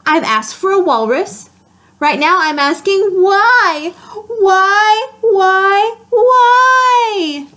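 A woman's voice: extremely high pitch, lively intonation, very slow, bright, forceful tone, voice pushed up, heavily acted. A woman doing a whiny, wailing pigeon. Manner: whining, wailing complaints. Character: whiny, dramatic pigeon who always asks.

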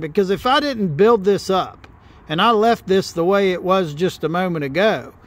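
A man's voice: sits high, fairly bright tone. A middle-aged man giving a hands-on welding tutorial outdoors beside a truck, plain-spoken and often restarting his phrases as he explains. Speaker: welder teaching on camera. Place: outdoors by a log truck in a gravel yard.

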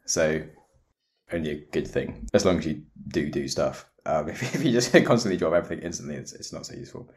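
A young man hosting a podcast, asking questions in a relaxed, chatty way with lots of "like". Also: rumbling low, brisk. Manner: relaxed, chatty, uses "like" a lot. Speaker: podcast host. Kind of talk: casual interview chat.

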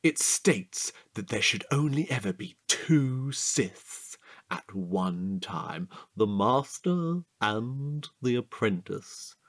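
An actor playing a chatty, excitable, needy sidekick in a comic audio drama, rambling eagerly and theatrically. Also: male voice, lowish voice, slow pace, full character voice. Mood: excited, nerdy.

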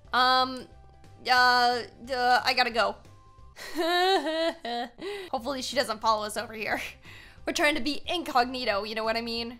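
Bright, high-pitched young American woman. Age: young adult. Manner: chatty, expressive, exclaiming. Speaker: gaming video host. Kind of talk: casual playthrough commentary.

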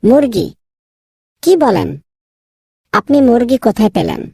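A woman voicing a naive, proud young scholar son in a cartoon, easily exasperated, with a husky, creaky voice. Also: a little high, animated, unhurried, mellow, no echo, clean studio sound, full character voice. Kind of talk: cartoon dubbing.

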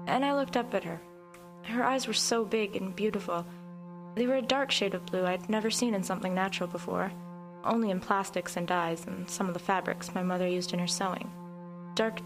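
A woman's voice: medium pitch, fairly even intonation, normal speed, over music, lightly acted. A woman playing a wry, reflective narrator recalling her troubled girlhood in a confiding first-person dramatic narration.

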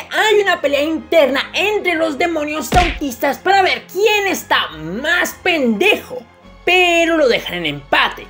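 A woman giving a scripted, irreverent manga review voice-over full of crude jokes and slang. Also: high voice. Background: faint background music.

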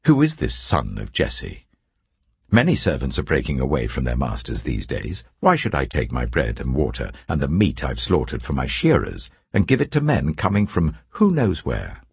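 A surly, mean rich man sneers his refusal, voiced by an older man in a very low, raspy voice. The tone is dismissive and the sound is dry. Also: huge pitch swings, moderate pace, darkish tone, dry recording, exaggerated voice. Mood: scornful. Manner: scornful, dismissive.

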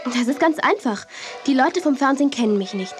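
A woman's voice: high-pitched, expressive, brisk, faint music behind. An actress playing a modest, sensible young woman, a friendly big sister, in a children's audio drama. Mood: calm, reasonable. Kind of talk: 1980s German children's audio drama acting.

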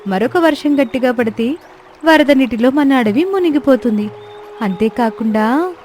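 A woman's voice: sits high, lively intonation, normal speed, a little mellow, faint music behind. A woman voicing a clever, proud, earnest young sparrow in a children's cartoon story, speaking with self-assurance. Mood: earnest, explaining.

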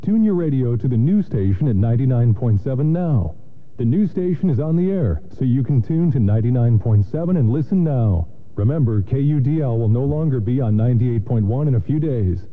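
A man delivers scripted radio promos as a station announcer. His voice sits at a middle pitch, and the sound is narrow and lo-fi, like a taped FM broadcast. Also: lively intonation, brisk. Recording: band-limited FM radio aircheck.

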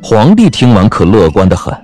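A man narrating a scripted story in a wry, expressive manner. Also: on the low side, normal speed, soft background music.

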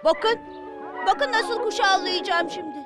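A woman's voice: very high voice, unhurried. A woman voicing a competitive, show-off young boy in a cartoon. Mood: taunting. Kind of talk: dubbed cartoon acting. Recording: loud music under the voice. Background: loud music.

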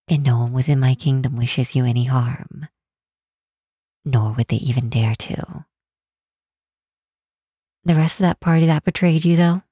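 A woman voicing a kind, protective demon queen in an ASMR comfort roleplay, speaking gently and reassuringly in a measured way, close to the microphone. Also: low-pitched, slightly rough, no room sound, exaggerated voice. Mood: calm, earnest. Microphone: close, dry microphone.

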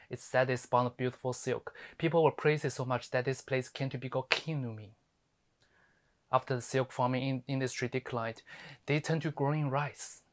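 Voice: medium pitch, lightly acted. An actor playing a sardonic, fiery lawyer in a theatrical monologue, scolding with scathing rhetorical questions. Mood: quiet sardonic storytelling. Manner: scathing, rhetorical, swinging from hushed to ranting. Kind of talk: theatrical dramatic monologue performance.

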